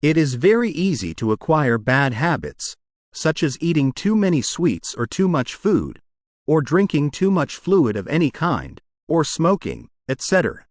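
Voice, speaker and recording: A man narrates short study passages in a measured, deliberate way. His intonation moves freely up and down across each phrase. The sound is dry and close, with no room around it. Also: fairly high pitch, a little mellow, no echo. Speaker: narrator of English test practice passages. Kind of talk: scripted read-aloud narration.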